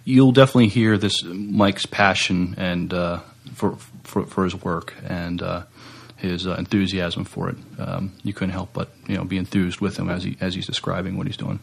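Gravelly, husky low-voiced man on a podcast. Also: little pitch movement, moderate pace. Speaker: podcast co-host. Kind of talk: casual podcast chat.

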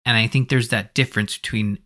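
A man's voice: fairly low pitch, fairly even intonation, fairly quick, sharp tone. A man hosting a podcast, discussing films in a casual, conversational and hedging way. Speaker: podcast host.

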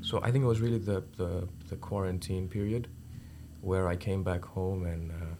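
A young man from India and Zambia speaks casually in an interview. His voice is very deep and quite monotone, with faint noise in the background. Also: moderate pace. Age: teens or young adult. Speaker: student athlete podcast guest.

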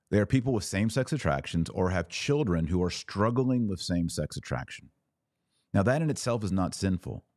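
A man with a low-pitched voice delivers opinionated religious commentary as a podcast host. He is emphatic and argumentative, stretching out the words he wants to stress. The sound is dry, with very little room around the voice. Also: darkish tone, no room sound.

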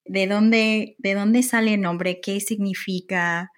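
A woman hosting a podcast, calmly asking questions and explaining background. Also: medium pitch, slow. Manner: calm, explanatory, asks curious questions. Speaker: podcast host. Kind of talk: scripted narration.

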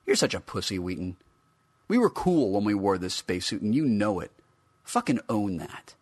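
A man's voice: lowish voice, very animated, normal speed, a little mellow, dry recording. A man voicing a brash, foul-mouthed talking action figure of a teenage space cadet, cocky and crude.

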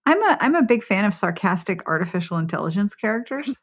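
A woman's voice: mid-range voice, very animated, fast talker. A woman hosting a podcast in an informal, playful, conversational way. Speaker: podcast host. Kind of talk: chatty podcast hosting and sign-off.

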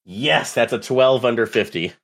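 A man's voice: medium pitch, moderate pace. A man playing a tabletop game, chatting casually as he takes his turns.

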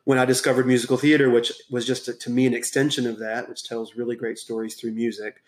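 A man from Texas, a podcast guest, telling his life story in a relaxed, casual way with many pauses and ums. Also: medium pitch, roomy sound. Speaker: podcast guest, gym owner. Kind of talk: casual interview talk.

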